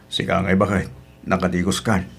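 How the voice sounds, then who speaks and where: A male actor plays a contented older Ilocano market vendor in a radio-style drama. His voice sits fairly low with a husky grain. He talks in a plain, homely way, like a good-natured married man. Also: flat delivery, brisk, a bit bright, full character voice. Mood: cheerful. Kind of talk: Ilocano audio drama acting.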